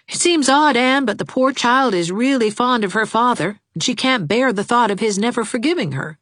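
A woman's voice: on the high side, animated, a bit bright, dry recording, studio quality, pitched above the performer's own voice. A woman voicing a lovesick, gloomy young man who talks in an earnest, fretful rush. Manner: earnest, fretful, fast-talking. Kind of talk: audiobook character voice.

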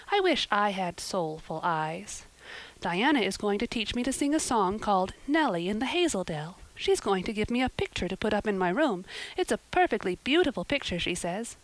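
A woman playing a young girl in a storybook reading, breathless and gushing, dreamy and dramatic, voice riding high and swooping widely, close dry sound. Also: dry recording, rough recording. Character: eager talkative orphan girl, dreamy and dramatic.

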